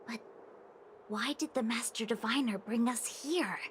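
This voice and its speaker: An actress playing a plucky young fortune-teller, a cheeky but earnest helper, chatty and expressive in video game style. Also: female voice, on the high side, a little slow, slight background noise, natural voice. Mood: puzzled explaining.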